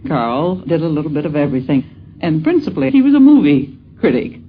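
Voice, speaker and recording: A woman reminisces conversationally in a husky voice. Her intonation swings widely and animatedly. The sound is dull, from a 1950s radio recording. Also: low-pitched, big pitch swings, a little fast. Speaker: broadcasting professional reminiscing.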